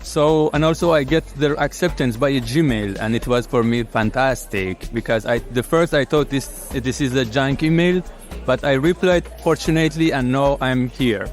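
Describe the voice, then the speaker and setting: A man from Iran, a water engineer and program fellow, telling his story in a halting soundbite full of fillers and restarts. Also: medium pitch, faint music behind. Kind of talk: recorded soundbite.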